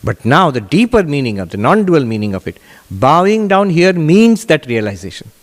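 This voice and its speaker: Guy teaching vedanta like a monk, deliberate and emphatic, voice on the higher side, big echoey hall. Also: big pitch swings. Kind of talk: teaching Vedanta, answering audience questions. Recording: echoey hall with long reverb.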